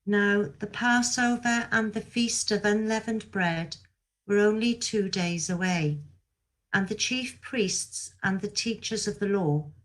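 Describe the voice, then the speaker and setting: A woman's voice: mid-range voice, rough recording. A woman giving a scripted Bible reading as a scripture reader over a video call.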